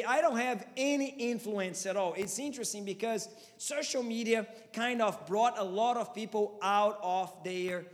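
Brazilian-accented pastor preaching in a reverberant hall. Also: male voice, high voice. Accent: Brazilian Portuguese. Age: 30s. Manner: impassioned preaching with rising rhetorical questions. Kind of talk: sermon.

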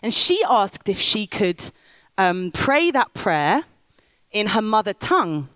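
Lively woman preaching slowly. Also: mid-range voice, no echo. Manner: lively storyteller, rousing the crowd. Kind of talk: sermon with storytelling. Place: stage in a big top tent.

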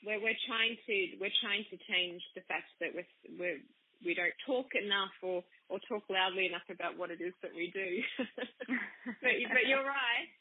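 A woman's voice: medium pitch, moderate pace, husky, no room sound. Chatty woman hosting radio. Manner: enthusiastic, stumbling restarts. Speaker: radio host. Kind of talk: radio interview. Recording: dry phone-like sound.

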